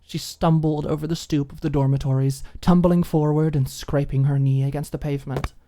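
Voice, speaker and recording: A woman reading scripted fiction as an expressive audiobook narrator. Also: low voice, brisk.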